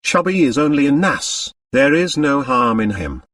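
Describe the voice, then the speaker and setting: A man's voice: medium pitch, very animated, a bit bright, no echo, studio quality, far from the mic. A man as a wry, drawling young woman. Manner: slow drawl. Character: wry, detached young upper-class woman.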